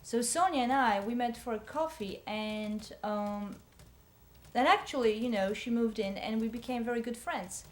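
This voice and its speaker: A woman playing an earnest, gentle young woman who recalls her friends in a halting, conversational way. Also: on the high side, roomy sound, natural voice. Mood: friendly.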